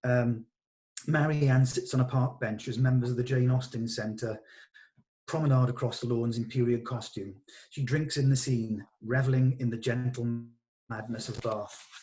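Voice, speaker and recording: A man reads out screenplay directions during a rehearsal. His voice sits at a middle pitch, and he narrates scene headings and action for the actors. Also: steady tone, moderate pace, dark tone, low-quality recording. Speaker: reader of stage directions, running the rehearsal. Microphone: video call.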